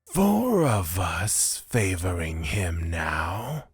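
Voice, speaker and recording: A man acting an aloof, measured god in a fantasy audio drama, speaking in a deliberate, reserved way. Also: sits low, huge pitch swings, husky, no echo, clean studio sound, heavily acted. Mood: calm, aloof. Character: aloof, measured male deity staying out of quarrels.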